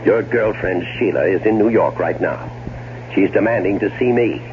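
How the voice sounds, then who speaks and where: Muffled noisy old radio recording, actor playing scheming irritable American man, lowish voice, theatrical sarcasm. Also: moderate pace, natural voice. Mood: angry, sarcastic. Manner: theatrical, sarcastic, stammers when cornered. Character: scheming, irritable man, bitterly sarcastic older-brother type. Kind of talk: 1970s American radio drama acting.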